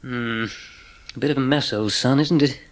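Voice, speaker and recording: A man's voice: mid-range voice, moderate pace, natural voice. An actor playing a kindly, jovial father with a hearty, old-fashioned manner in an audio drama. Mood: cheerful, reassuring. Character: kindly, jovial middle-class English father.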